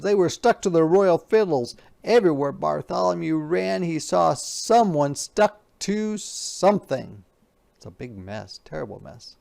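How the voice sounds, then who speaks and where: A man reads a storybook aloud in an expressive, theatrical way. His voice sits a little high with plenty of fry. He drops into quieter asides to explain words and pose questions. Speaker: teacher reading a picture book aloud.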